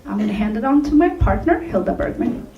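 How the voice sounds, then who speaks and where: Upbeat woman pitching in an echoey hall. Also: expressive, fairly quick, highs cut off, a crowd in the background. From Tunisia, raised in Sweden. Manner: upbeat, laughing asides. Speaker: contestant presenting her company. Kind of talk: pitch presentation to judges. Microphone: distant from the recording mic. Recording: echoey hall recorded from far off.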